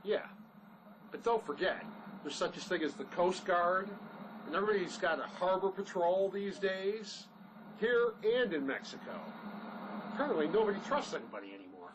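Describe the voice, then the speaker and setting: Sardonic grumbling fugitive acted by a man, lively pitch. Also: normal speed, noisy background. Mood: sarcastic. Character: sarcastic, grumbling, skeptical fugitive man on the run.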